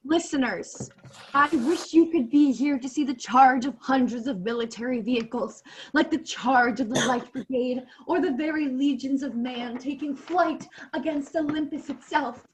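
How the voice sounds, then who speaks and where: Radio play role: female war reporter played by a teenage woman, high voice, florid, grandiose, theatrical. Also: moderate pace, a little hiss or hum, close to an everyday voice, low-quality recording. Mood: awed. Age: late teens. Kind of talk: 1930s radio play acting. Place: bedroom on a video call.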